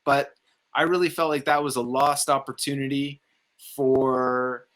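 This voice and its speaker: Bright-voiced man, rambling and opinionated. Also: medium pitch, fairly even intonation, unhurried. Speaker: film review show panelist. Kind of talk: casual movie discussion.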